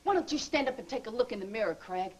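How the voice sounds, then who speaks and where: A bitter, scheming soap-opera lover. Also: female voice, on the high side, animated, fast, breathy edge. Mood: taunting. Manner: taunting, bitter, emotional. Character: bitter scheming woman, former nurse-accomplice, hurt and vengeful. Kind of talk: daytime soap drama acting.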